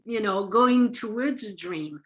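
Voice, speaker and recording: Bright-voiced French woman, earnest. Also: medium pitch, lively intonation, a little slow. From France. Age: middle-aged. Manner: earnest, emphatic, explains with conviction. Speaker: psychotherapist guest. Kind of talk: interview answers.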